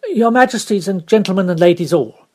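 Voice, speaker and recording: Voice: medium pitch, expressive, a little fast, mellow, husky. A reader voicing a blunt male sailor who makes a rambling, plain-spoken appeal to his shipmates. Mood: stirring.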